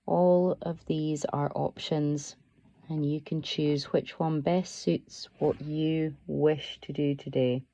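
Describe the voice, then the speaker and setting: A woman teaches guided meditation in a low, mellow voice with a noticeable creak. Her delivery is slow, soothing and permissive, giving gentle instructions without any push. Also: steady tone, muffled recording. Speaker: yoga nidra teacher.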